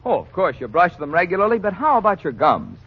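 Male vintage radio pitchman, slightly rough husky voice, lively intonation, scripted, dull old broadcast sound. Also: fast. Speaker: radio announcer and ad reader. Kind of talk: 1940s scripted radio announcing.

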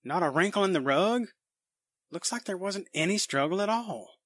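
A male actor plays a crime-scene policeman in a 1940s-style radio drama. His voice is high for a man and dryly recorded, with a brisk, businesslike manner. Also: expressive, a bit bright, dry recording.

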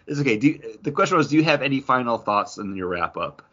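A man's voice: medium pitch, expressive, fast, sharp tone, muffled recording. A man hosting a casual podcast chat about games, talking in an enthusiastic, rambling way. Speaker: podcast host.

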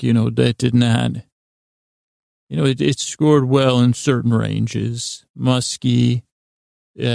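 A man hosting a bedtime podcast in a rambling monologue, halting and often interrupting himself as he wanders off on tangents. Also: medium pitch, vocal fry, mellow, dry recording, clean studio sound. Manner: halting, digressive, mumbly self-interruptions. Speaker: podcast host.

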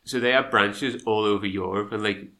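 A man's voice: fairly low pitch, steady tone, normal speed, pushed and bright. A man with a congested, nasal voice from a cold, co-hosting a podcast and moving between scripted history narration and chatty asides. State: has a cold. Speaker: podcast co-host.

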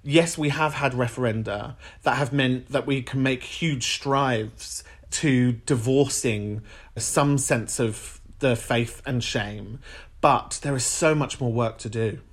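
A man who grew up in Ireland but has an English-sounding accent, hosting his podcast in an earnest, animated monologue. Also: mid-range voice, slow. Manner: earnest, animated and playful with callers. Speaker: podcast host. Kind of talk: reflective solo monologue.

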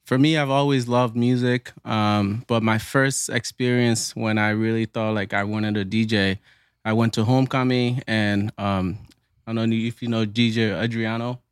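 A young man from Liberia tells his story in a smooth, clear voice. He keeps his pitch level and unhurried. The sound is close and dry, with no room in it. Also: steady tone, dry recording. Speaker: DJ guest. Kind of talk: casual podcast interview.

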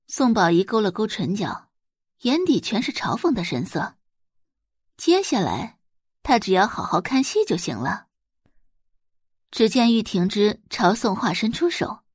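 A woman's voice: mid-range voice, sing-song, a little slow, pauses often, slightly rough, no room sound, studio quality. A woman narrating a dramatic audiobook story from a script.

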